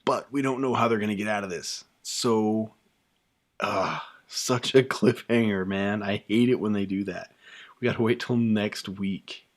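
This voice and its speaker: A man's voice: on the low side, unhurried. A man hosting a live reaction stream, chatting casually with lots of fillers and restarts. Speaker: YouTube host reacting to a show.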